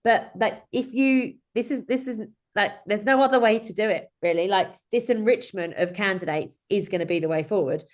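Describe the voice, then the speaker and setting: A woman's voice: medium pitch, moderate pace, hardly any pauses. Female host, 30s, mid-range voice, conversational and rambling, video-call setting. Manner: chatty, informal, rambling with frequent self-corrections. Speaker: webinar host in recruitment. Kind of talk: informal webinar discussion. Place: indoor room at home or office, on a video call. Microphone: video call microphone.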